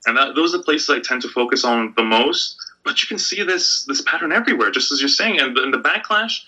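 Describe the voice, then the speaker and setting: A man's voice: medium pitch, expressive, fairly quick, sharp tone, slightly rough. A man who grew up in Egypt, talking things through in a conversational discussion, thoughtful and sometimes emphatic, often restarting his sentences. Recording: dull lo-fi sound.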